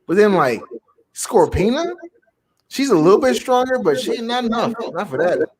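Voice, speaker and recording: Male co-host, high-pitched and very animated, emphatic teasing banter, repeats himself to make a point. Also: normal speed, a little hiss or hum. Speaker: co-host of a fan debate livestream. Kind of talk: casual bantering chat.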